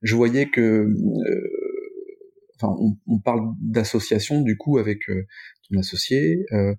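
Mellow, dark-voiced male interviewer. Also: fairly low pitch. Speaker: interviewer, host. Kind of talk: casual conversational interview.

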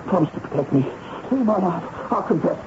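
Frightened, stammering young soldier, high voice. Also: male voice, animated, a little fast. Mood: terrified pleading. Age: young adult. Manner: stammering, pleading, hesitant. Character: frightened, evasive young male private accused of spying. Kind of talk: melodramatic radio drama acting. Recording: muffled old radio broadcast, background hiss.

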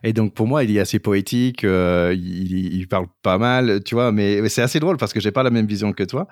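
A man who is not a native French speaker, co-hosting a sports podcast in informal, somewhat halting casual chat. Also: lowish voice, no echo, clean studio sound. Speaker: rugby podcast co-host.

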